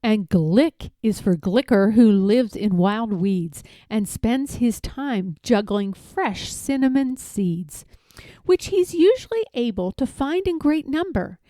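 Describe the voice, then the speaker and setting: A woman's voice: mid-range voice, warm tone, no echo. A woman reading a rhyming picture book aloud expressively, drawing out key words for emphasis. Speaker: read-aloud storyteller.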